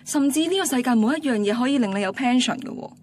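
A woman's voice: on the high side, expressive, quick pace, darkish tone, slightly rough. An actress playing a blunt, ambitious young student girlfriend in a radio drama, matter-of-fact and dismissive, with a Hong Kong Cantonese accent. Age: young adult.

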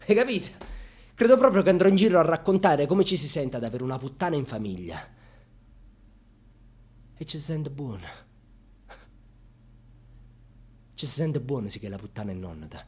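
An actor playing a proud, wounded, defiant grandson in a dramatic radio monologue, with a husky voice. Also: male voice, fairly high pitch, big pitch swings, brisk, long pauses. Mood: indignant, defiant, then tender, subdued. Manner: dramatic, swinging from hushed confiding to fierce outbursts.

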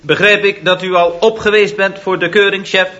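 A meek office clerk, who answers his boss with nervous deference. His voice is high and bright, in old radio-comedy style. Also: steady tone, moderate pace, muffled recording. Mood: deferential. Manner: deferential, says "chef" constantly. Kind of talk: 1960s radio comedy acting.

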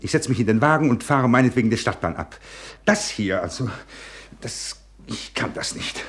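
A man's voice: mid-range voice, expressive, moderate pace, fairly bright tone, close to an everyday voice. An actor playing a tense, impatient father who tries to stay reasonable, in clipped phrases in a German radio play.

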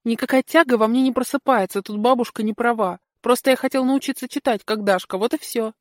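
Woman voicing an eager, naive little boy, high-pitched. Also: brisk, dry recording, clean studio sound, lightly acted. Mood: earnest, excited. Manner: earnest, expressive, childlike wonder. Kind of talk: first-person storybook narration.

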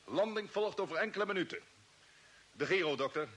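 An actor playing a brisk, commanding male space squadron leader in a radio play, giving clipped, businesslike orders. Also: sits high, animated, a bit bright. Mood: brisk, focused. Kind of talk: radio drama acting. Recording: noisy old radio play, heavy hiss.

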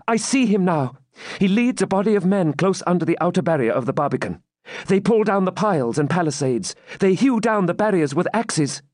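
A man playing a high, breathless, fervent young maiden. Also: animated, fairly quick, a little mellow, no room sound, clean studio sound. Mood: agitated, urgent. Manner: breathless, exclamatory, archaic dramatic speech.

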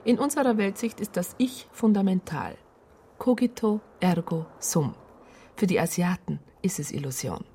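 A woman's voice: on the low side, animated, normal speed, dark tone, a little hiss or hum. An actress playing an indignant police psychologist who states her complaint in precise, formal words in a radio drama. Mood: earnest. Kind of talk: radio drama narration and dialogue.

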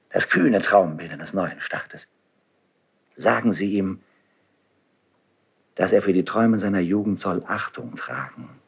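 Doomed idealistic nobleman, husky, low-pitched, declamatory, solemn, fervent, 1970s radio play verse. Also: exaggerated voice. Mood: fervent, dying plea. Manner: declamatory, solemn, emphatic delivery. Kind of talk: 1970s German radio drama, classical stage verse.

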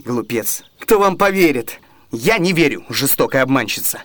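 A man's voice: medium pitch, sing-song, normal speed, fairly bright tone. An actor playing a bitter, jealous young man in a classic comedy radio play, with theatrical, declamatory delivery. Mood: bitter, furious. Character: jealous young male lover, bitter and wounded.

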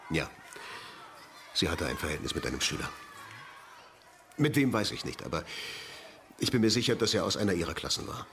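An actor playing a defensive, rueful middle-aged headmaster in a radio crime drama, speaking haltingly. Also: male voice, deep voice, very animated, vocal fry, fairly bright tone, slight background noise, full character voice. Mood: confessing. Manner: halting, agitated, confessional. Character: defensive, rueful ageing male school headmaster having confessed an affair.

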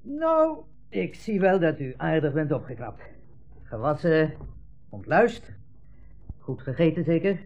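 An actor playing a breezy, patronising army captain at a refugee centre, in 1960s radio drama style. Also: male voice, on the high side, big pitch swings, unhurried, long pauses, darkish tone, soft background music. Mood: jovial, patronising. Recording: muffled 1960s radio broadcast recording.

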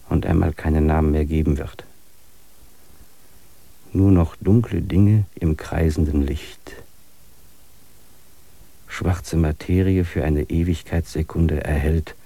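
Low husky man musing aloud. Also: dark tone, no room sound. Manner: earnest, musing, philosophical. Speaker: main character and reflective narrator. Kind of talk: classic radio play acting.

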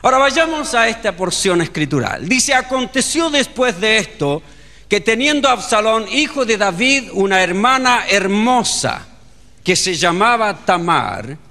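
Chilean preacher, bright and lively, in a hall. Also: male voice, sits high, measured pace. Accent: Chilean Spanish. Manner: storytelling preacher, acting out dialogue. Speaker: pastor. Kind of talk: sermon.

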